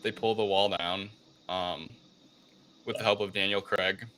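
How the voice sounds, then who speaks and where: Male podcast co-host, low and forceful, casual halting recap style. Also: fairly even intonation, clean tone, low-quality recording. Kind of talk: casual film review chat.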